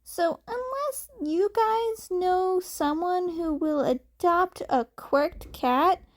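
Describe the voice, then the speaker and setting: A woman's voice: very high-pitched, slow pace, voice pushed up. A woman voicing a cheeky young cat boy in a fan fiction audiobook, polite and innocent on the surface but defiant. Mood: smug, defiant.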